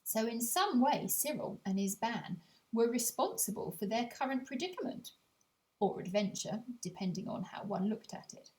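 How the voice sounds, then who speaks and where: A woman's voice: fairly high pitch, animated, low-quality recording. Woman narrating a children's audiobook. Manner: measured, gently wry, addresses the reader directly. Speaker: author and audiobook reader.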